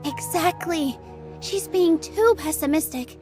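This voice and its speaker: An actress voicing a gentle, hopeful young dancer in a video game, sincere and caring. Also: female voice, very high-pitched, slow. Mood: earnest, concerned. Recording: music behind the voice.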